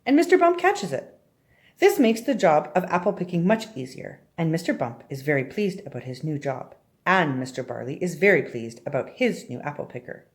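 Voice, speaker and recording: A woman's voice: deep voice, expressive, fairly quick, few pauses. A woman reading a children's story aloud expressively, often turning to address young listeners directly with questions. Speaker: storybook reader.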